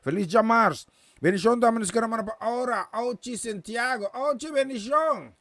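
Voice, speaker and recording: A man with a high voice hosts a gospel program in a relaxed, chatty way. He sends blessings to listeners by name, stumbling and repeating words as he moves between languages. The sound is dry and close, with no room around it. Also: normal speed, no echo. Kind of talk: casual live-stream chat with greetings.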